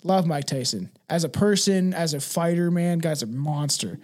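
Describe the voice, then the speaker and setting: Man, high-pitched voice, podcast host, blunt, emphatic, sweary, casual opinionated chat. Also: moderate pace, darkish tone. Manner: blunt, emphatic, swears freely, ends phrases with "right" and "man".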